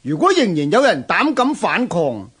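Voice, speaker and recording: A man's voice: high voice, huge pitch swings, moderate pace, fairly bright tone, husky, exaggerated voice. An actor playing a loyal, shrewd general who addresses his lord formally and earnestly in a historical radio drama. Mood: confident.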